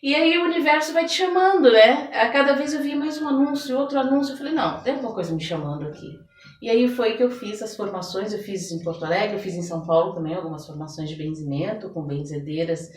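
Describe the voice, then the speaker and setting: A woman's voice: medium pitch, quick pace. A woman with a Brazilian Portuguese accent explaining her practice in a conversational interview, reflective and often checking for agreement. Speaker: interview guest, holistic therapist and folk blesser. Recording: echoey room, distant mic, faint background noise.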